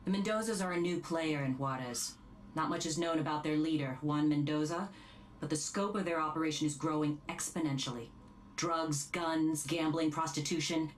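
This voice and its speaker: An actress playing a composed, businesslike deputy director, giving a formal briefing in a game drama. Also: female voice, deep voice, normal speed, far from the mic, a little hiss or hum, lightly acted. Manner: formal, crisp official briefing.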